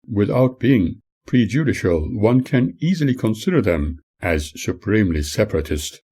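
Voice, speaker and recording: An older man reads his memoir in a measured, earnest tone. His voice sits low and dark, with a husky grain to it. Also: lively intonation. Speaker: author reading his own memoir. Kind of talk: memoir reading.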